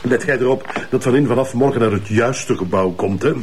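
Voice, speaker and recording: An actor with a Flemish accent playing a teasing, self-satisfied middle-aged police chief, jovial, mocking and bossy. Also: male voice, mid-range voice, brisk, slight background noise, lightly acted. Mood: smug, bossy. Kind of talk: TV drama acting.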